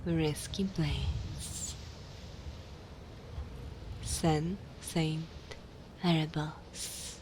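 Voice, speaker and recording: A woman hosting an ASMR channel, speaking softly and deliberately in a breathy half-whisper. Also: sits low. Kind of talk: soft ASMR channel outro. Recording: faint background noise.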